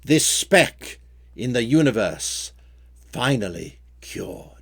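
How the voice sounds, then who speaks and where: A man reading his own story poems in a measured, theatrical way with a rhyming cadence. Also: mid-range voice, big pitch swings. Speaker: poet reading own work. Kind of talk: dramatic poetry recitation.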